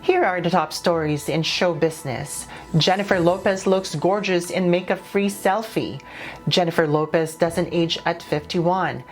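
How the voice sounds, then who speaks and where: A woman's voice: fairly low pitch, a little slow. A woman reading scripted showbiz news as a reporter. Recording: music bed under the voice.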